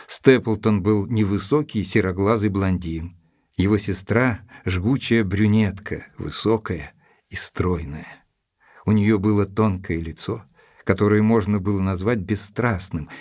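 An observant gentleman telling his tale in an audio drama. The voice is fairly deep and a little rough-edged, recorded dry. His delivery is measured, polite and literary. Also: dry recording. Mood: observant, narrating. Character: Doctor Watson, observant, courteous gentleman narrator. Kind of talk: dramatised scripted narration.

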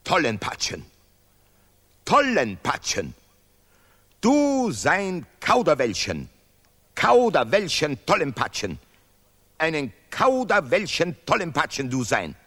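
Reverberant hall sound, stubborn scholarly laureate, contemptuous, slow chanting declamation, avant-garde radio drama. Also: male voice, fairly high pitch, wildly swooping pitch, fairly bright tone, slightly rough, full character voice. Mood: dismissive. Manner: declamatory, emphatic, chanting broken German with repetitions. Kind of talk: stylized avant-garde radio play acting.

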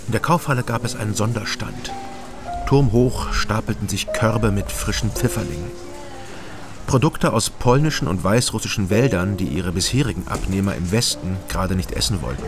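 A laconic East German ex-cop working as a detective in a radio crime play. His voice sits low and he speaks in short, understated phrases. Music plays clearly behind him. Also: animated, normal speed. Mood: dry, brooding. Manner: terse, understated, matter-of-fact. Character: laconic East German ex-policeman turned illegal private detective. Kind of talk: radio crime drama, first-person narration and dialogue.

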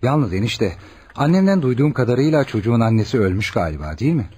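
An actor playing an earnest, caring young male doctor in a Turkish radio play, with an expressive, questioning manner. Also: mid-range voice, fast, slight background noise, natural voice. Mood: concerned. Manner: expressive, probing, reassuring. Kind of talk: Turkish radio theatre acting.

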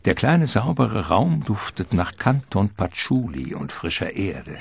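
Gothic radio drama narrator: low gravelly man, slow and theatrical, swooping delivery, faint music. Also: big pitch swings, full character voice. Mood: measured, ominous. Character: darkly theatrical narrator of a gothic vampire tale.

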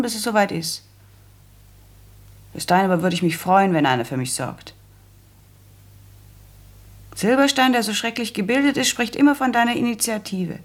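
Husky, shrewd wife. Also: female voice, medium pitch. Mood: self-assured. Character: shrewd, self-assured small-town mayor's wife, practical and ambitious. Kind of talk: German radio play acting.

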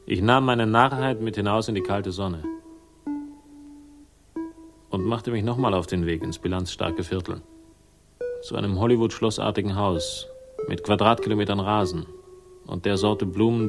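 An actor playing a weary, wry sleuth who narrates a 1960s German radio crime drama in a laconic, dryly sardonic way. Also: male voice, fairly low pitch, moderate pace, long pauses, over music, close to an everyday voice. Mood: wry, melancholy.

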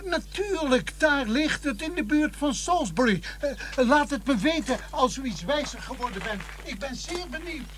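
An actor playing a fussy, scatterbrained village vicar in a Dutch radio play, hurried and dithering, often repeating himself. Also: male voice, very high voice, expressive, a bit bright, a little hiss or hum. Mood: dithering, excited.

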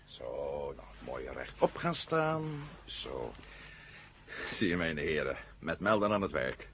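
An actor in a 1970 radio drama playing a defiant, wisecracking space adventurer, with a raspy voice and a sardonic, blustering manner. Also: male voice, low voice, huge pitch swings, takes it slowly, fairly bright tone, a little hiss or hum, heavily acted. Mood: triumphant, brisk. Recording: muffled old radio recording.

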